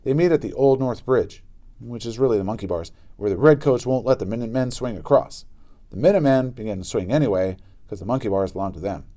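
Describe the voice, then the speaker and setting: A man narrating a children's book aloud in an expressive style with dramatic emphasis. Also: medium pitch, quick pace. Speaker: storybook reader.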